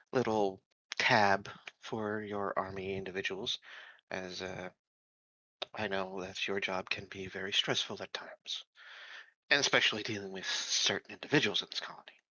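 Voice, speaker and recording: A man's voice: low voice, fairly even intonation, normal speed, pushed and bright, dry recording. A man voicing an earnest, secretive non-human colony security officer in a tabletop game, polite and hesitant, on a dull, dry recording. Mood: friendly.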